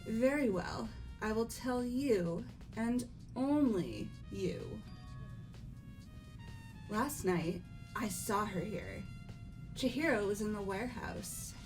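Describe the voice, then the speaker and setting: A woman's voice: on the high side, animated, slow, over music, low-quality recording. A woman playing a refined, calculating gothic teenage girl in game dialogue, with a poised, formal manner. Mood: coy, composed. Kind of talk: fan dub of visual novel game dialogue.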